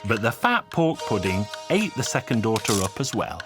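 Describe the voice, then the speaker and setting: A man with a fairly low voice narrates a folk tale from a script. His delivery is measured and expressive, with animated rises and falls in pitch, like storybook telling for a cartoon. Music plays prominently behind him. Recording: music under the voice.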